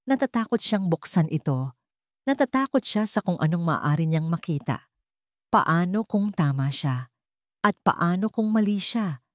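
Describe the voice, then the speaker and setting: A woman's voice: fairly low pitch, lively intonation, unhurried, dry recording, clean studio sound. A woman reading a scripted dramatic story as its narrator.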